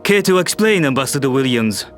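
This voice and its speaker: A man's voice: medium pitch, animated, fairly quick, a bit bright, natural voice. A man playing an authoritative, formal Secretary General in an audio drama. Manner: formal, declarative. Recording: music bed under voice.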